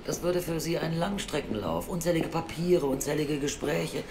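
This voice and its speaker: An actress playing a guarded, stern youth welfare official in an audio drama, with a reproachful official manner. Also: female voice, deep voice, lively intonation, moderate pace. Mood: stern, doubtful. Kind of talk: naturalistic German radio drama acting. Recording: faint background noise.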